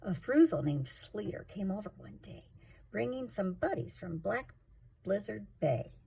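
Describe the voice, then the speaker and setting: Lady reading a kids rhyming book out loud, really slow, gravelly voice, expressive. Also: medium pitch, a little hiss or hum. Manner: expressive, rhythmic rhyming delivery. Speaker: storytime host, reader. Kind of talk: children's picture book read-aloud.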